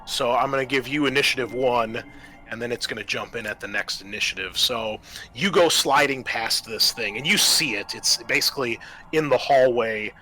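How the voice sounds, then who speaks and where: A man's voice: medium pitch, bright, forceful tone, rough recording. A man narrating a tabletop roleplaying game as game master, improvising descriptions and explaining the rules, with music behind him.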